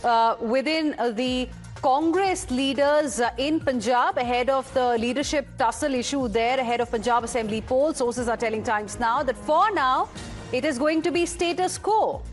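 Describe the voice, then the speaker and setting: A woman with an Indian accent anchoring live television news in an emphatic way. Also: a little high.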